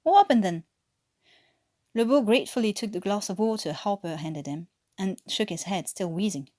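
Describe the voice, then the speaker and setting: A woman from France reads a story aloud in a French-accented voice of medium pitch. Her delivery is that of an expressive amateur narrator, with an occasional stumble over a word. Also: a little fast. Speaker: amateur audiobook reader.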